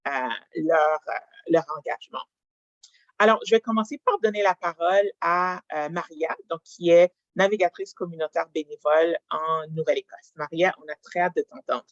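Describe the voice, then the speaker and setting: A webinar moderator speaking formally and in a measured, hesitant way, with drawn-out pauses, presenting over a video call. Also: mid-range voice, dry recording. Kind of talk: formal presentation, introducing panelists. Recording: dull lo-fi online call audio.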